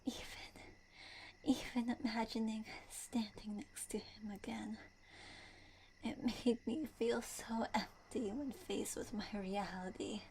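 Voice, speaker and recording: Casting: woman as gentle young traveler, grieving, highish half-whispered voice, very slow and halting, crickets behind. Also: fairly even intonation, poor audio. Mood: tearful, grieving. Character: gentle young female traveler, grieving her lost brother. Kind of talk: intimate ASMR audio roleplay acting. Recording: faint noise, crickets behind.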